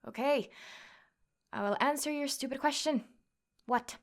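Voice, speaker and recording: A woman's voice: high-pitched, a little slow. A young woman playing a tough, cocky tsundere classmate who fights MMA, brash, bossy and teasing, in an ASMR roleplay. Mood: annoyed, bossy. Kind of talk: ASMR girlfriend roleplay acting.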